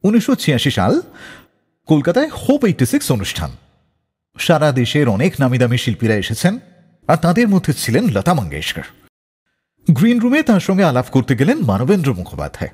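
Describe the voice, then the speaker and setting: A male radio host narrates scripted stories with hugely animated, swooping intonation. His voice sits on the high side and has a slightly husky edge. The delivery is theatrical and expressive, drenched in long reverb. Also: brisk, long pauses.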